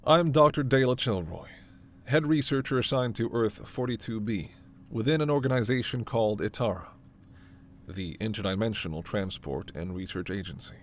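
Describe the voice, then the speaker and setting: A man playing a formal alien scientist in a sci-fi ASMR roleplay, stern and authoritative yet reassuring, with measured, precise diction. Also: fairly low pitch, natural voice. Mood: measured explaining. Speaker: voice actor in audio roleplay.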